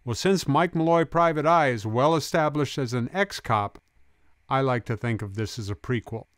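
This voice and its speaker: A man's voice: mid-range voice, normal speed, smooth, dry recording. A man reading scripted documentary narration in an informal, folksy way. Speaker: video essayist, narrator. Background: music bed with guitar.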